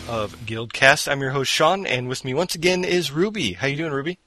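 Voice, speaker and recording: Bright-voiced man hosting a gaming podcast. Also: a little high, a little fast, no room sound, clean studio sound, faint music behind. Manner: rambling, self-deprecating, many fillers and restarts. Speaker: podcast host. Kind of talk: casual gaming podcast chat. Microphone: borrowed headset mic off to the side.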